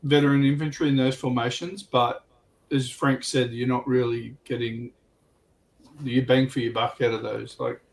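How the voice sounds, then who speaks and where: Gravelly, mid-pitched man chatting casually. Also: flat delivery, normal speed, a little hiss or hum. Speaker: livestream panelist giving gaming advice. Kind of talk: casual chat.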